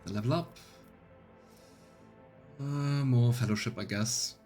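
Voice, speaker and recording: A man's voice: medium pitch, measured pace, darkish tone, soft background music. A man giving casual let's play commentary, thinking aloud as he weighs choices in a role-playing game.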